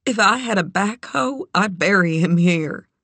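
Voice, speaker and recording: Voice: medium pitch, animated, a little slow, pushed and bright, no room sound, voice pushed up, heavily acted. A narrator voicing a grieving, dazed, folksy woman who keeps horses in an audiobook story. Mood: bleak, resigned.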